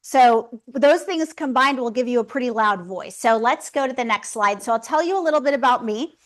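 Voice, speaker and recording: A woman in her forties from Los Angeles, giving a seminar talk as a psychologist in a loud, chatty and friendly way. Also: a little high, fairly quick. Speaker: psychologist presenter. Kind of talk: seminar presentation. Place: seminar room.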